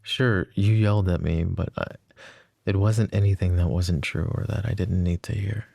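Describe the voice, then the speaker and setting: Man playing a very deep, gravelly mafia lover. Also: fairly even intonation, normal speed, darkish tone, dry recording. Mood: tender, remorseful. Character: smitten mafia boss, flirty, self-deprecating, tender and possessive lover. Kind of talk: intimate ASMR romance roleplay.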